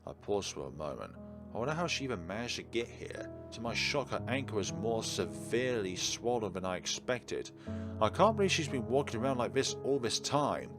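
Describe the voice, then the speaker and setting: Lively, low-voiced man narrating a game. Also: a little fast. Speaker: let's player reading aloud. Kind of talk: expressive read-aloud narration of a visual novel. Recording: game music behind the voice.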